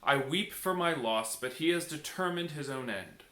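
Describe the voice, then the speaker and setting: A man voicing a noble, solemn and merciful king in a storybook, speaking in a measured, declamatory way. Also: on the high side, animated, bright, forceful tone. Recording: echoey room.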